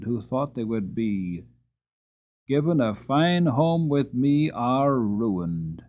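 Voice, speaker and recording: A man's voice: medium pitch, phone-quality sound. A man voicing an annoyed, proud earl in a storybook, with an emphatic, lordly delivery. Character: annoyed, commanding English earl, proud horse owner.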